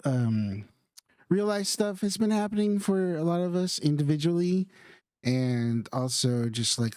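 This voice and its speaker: A man's voice: mid-range voice, a little mellow, no echo. Man co-hosting a podcast, dry mic. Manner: rambling, halting with many restarts. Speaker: podcast co-host. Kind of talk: casual podcast chat.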